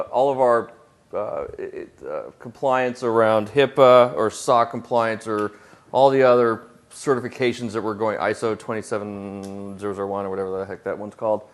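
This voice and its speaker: A man with a fairly low voice answers audience questions on a conference panel. He talks loosely and informally, filling pauses with "uh", and readily admits what he doesn't know. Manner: casual, self-deprecating, frequent fillers. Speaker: product manager presenting on a panel.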